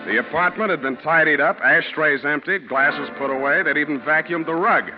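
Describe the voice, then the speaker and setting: An actor playing a wry, streetwise private detective in 1940s American radio style, with a dry, sardonic, slangy delivery. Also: male voice, fairly high pitch, a little fast, lightly acted. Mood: wry narration. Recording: dull vintage radio recording with music.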